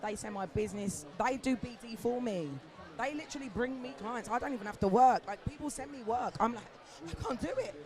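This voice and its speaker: Hoarse british woman in her forties, high voice, chatty and candid, festival crowd noise behind. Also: fairly quick. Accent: British English. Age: 40s. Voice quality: animated, chatty, swears casually. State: hoarse, lost voice. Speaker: agency founder, panel guest. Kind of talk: candid conversational panel talk. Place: outdoor festival stage with crowd.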